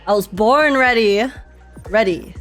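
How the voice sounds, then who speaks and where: A woman's voice: medium pitch, unhurried. A woman live-streaming a game with casual, playful, slangy reactions to what happens. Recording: faint game music behind.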